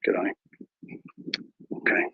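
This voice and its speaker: A man playing a bumbling, boastful wilderness ranger who hosts a mock nature show, talking in a mock Australian accent with hushed, mock-serious narration and a husky voice. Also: drawn-out words, no room sound, phone-quality sound. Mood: hushed bravado. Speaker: mock wildlife show host. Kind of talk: improvised parody nature documentary.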